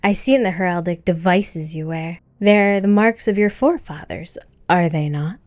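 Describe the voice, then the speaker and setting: A man's voice: sits high, moderate pace, a little mellow, no echo, voice pushed up, natural voice. A man voicing a cunning young female alien diplomat with a refined, honeyed, pleading manner.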